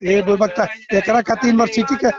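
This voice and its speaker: A man's voice: sits high, fairly even intonation, a little fast. A man with a Bihari Bhojpuri accent in an informal phone discussion, placating and giving advice while talking over the other person. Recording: muffled phone call with crowd chatter.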